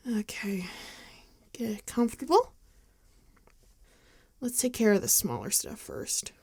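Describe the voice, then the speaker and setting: A woman playing a caring, apologetic female vampire friend in an intimate ASMR roleplay, speaking gently and hesitantly. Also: medium pitch, sing-song, vocal fry, husky, no room sound, heavily acted. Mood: caring, reassuring. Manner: hesitant, gentle, halting with soft sighs. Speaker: ASMR voice actor.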